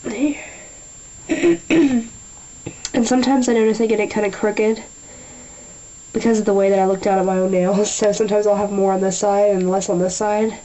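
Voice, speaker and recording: A young woman talking through a nail tutorial in a chatty way, a little nasal from a sinus infection, often clearing her throat. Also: medium pitch, steady tone, normal speed, long pauses, dark tone, low-quality recording. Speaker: beauty vlogger. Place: small room at a table.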